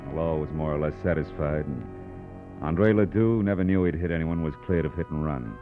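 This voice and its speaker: An American actor playing a tough, brisk, wry private detective in 1940s radio noir style. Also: male voice, very deep voice. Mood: tense, wry narration. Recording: muffled old radio recording with music.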